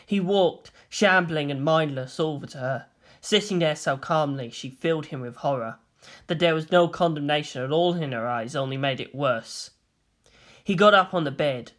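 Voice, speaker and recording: A storyteller reading dramatic scripted fiction in a solemn, expressive way. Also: medium pitch, measured pace. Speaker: fan fiction narrator.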